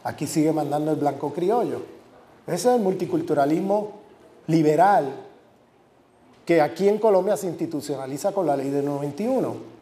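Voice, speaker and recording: A man's voice: on the high side, normal speed, warm tone. A man, a university professor, giving an academic lecture with emphasis, repeating and listing words for stress. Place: lecture hall. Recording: echoey lecture hall.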